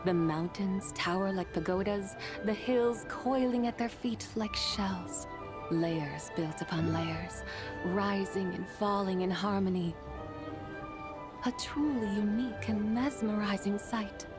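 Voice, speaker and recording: A woman's voice: medium pitch, slow pace, close to an everyday voice. A woman voicing a proud ancient immortal in a video game, speaking formally and grandly. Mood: lofty, pensive. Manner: formal, archaic, pompous declarations. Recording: game dialogue over background music.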